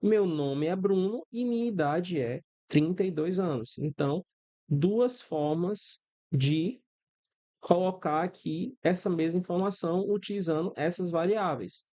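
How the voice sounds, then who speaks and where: A man's voice: sits high, unhurried, warm tone. A Brazilian man in his thirties teaching a coding class step by step, checking in with his students often and using everyday examples. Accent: Brazilian Portuguese. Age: 30s. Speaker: programming instructor. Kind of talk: screen-recorded coding lesson.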